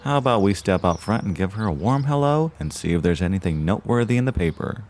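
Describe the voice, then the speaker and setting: A man's voice: lowish voice, expressive, fast talker, darkish tone, slight background noise, close to an everyday voice. A man playing a verbose, genteel small-town podcast host and dutiful son in an audio drama, speaking in folksy, flowery phrases. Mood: relieved, genial. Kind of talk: audio drama, mock radio-show narration.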